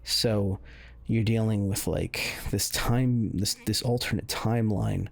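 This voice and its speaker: A man with a very low voice full of gravel and fry talks casually through a video game. He rambles and feels his way through sentences as he explains things, with a husky edge to the sound. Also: fairly even intonation. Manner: halting, rambling, hedging delivery. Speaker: gaming video host. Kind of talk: casual game walkthrough commentary.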